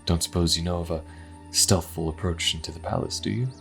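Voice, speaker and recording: A man's voice: low-pitched, steady tone, a little fast, gravelly, fairly bright tone, faint music behind. A man playing an anxious, exasperated adventurer on the run in an audio drama, flustered and given to muttering. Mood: wry, tense. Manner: flustered, muttering to himself, bursting out in alarm.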